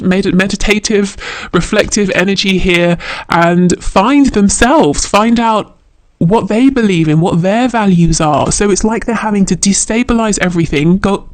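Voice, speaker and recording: A man's voice: high-pitched, no room sound. A man giving an unscripted tarot reading straight to the listener, thinking aloud in a reflective way and repeating phrases as he goes. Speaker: tarot reader.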